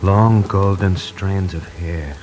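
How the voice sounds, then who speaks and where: A man playing a nervous, talkative tie salesman who narrates his own story in a 1940s radio drama, moving between hushed and frantic. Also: deep voice, fairly even intonation, takes it slowly, loud music behind the voice. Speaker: radio actor narrating in character.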